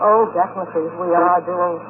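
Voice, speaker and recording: A man with a high voice gives earnest, halting answers in an interview. The recording is a thin vintage radio tape with clear background noise. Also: steady tone. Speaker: convention attendee being interviewed. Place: convention, interviewed on location. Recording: noisy, tinny 1950s radio field recording.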